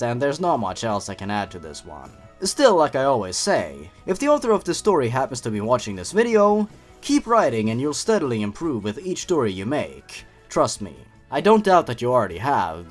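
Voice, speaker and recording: A man hosting a review show, giving scripted, opinionated commentary with sarcastic asides. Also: medium pitch. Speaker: host of a horror story review channel. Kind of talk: scripted review commentary with story read aloud.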